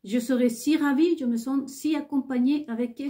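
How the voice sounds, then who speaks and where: A woman who is not a native French speaker, talking to her viewers in a halting, rambling monologue full of hesitations and restarts. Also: high-pitched, steady tone, dark tone. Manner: insistent, repeats herself. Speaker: vlogger.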